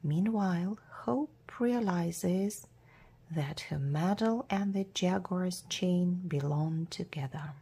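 A woman reading a children's adventure storybook aloud as a narrator, in a measured, deliberate way with hushed word endings. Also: fairly low pitch, husky. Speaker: storybook reader.

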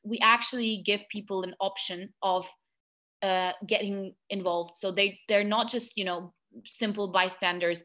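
A woman's voice: medium pitch, bright, forceful tone. A young woman presenting a webinar over a video call, talking casually with fillers and restarts. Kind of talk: webinar talk over slides. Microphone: video call webcam.

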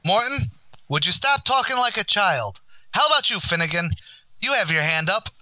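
A man's voice: high voice, very animated, moderate pace, sharp tone, no echo, exaggerated voice, poor audio. A man playing a blustering, wisecracking tavern manager who acts the grand quizmaster in a radio comedy. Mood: wry, blustering. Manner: mock-grand quizmaster, malapropisms. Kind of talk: old-time radio comedy acting.

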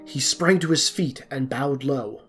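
A man reads scripted storybook narration in a mid-pitched voice. Music plays faintly behind him as he tells the tale. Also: unhurried, a bit bright.

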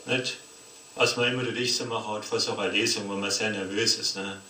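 Male slam performer, bright voice, chatty comic monologue off a cassette, faint noise. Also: fairly low pitch, fairly even intonation, brisk. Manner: colloquial, rambling, with tag questions. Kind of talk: comic spoken-word monologue, played from a cassette recorder. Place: cassette playback in a cinema hall, picked up from the audience. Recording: distant, echoey, faintly noisy cassette playback in hall.

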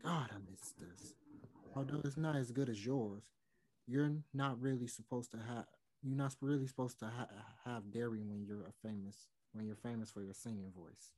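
A man's voice: medium pitch, fairly even intonation, normal speed, darkish tone, natural voice. A man playing an apologetic, pleading famous singer in an online play reading, earnest and hesitant, on a video call from home. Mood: wistful. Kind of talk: online one-act play table read.

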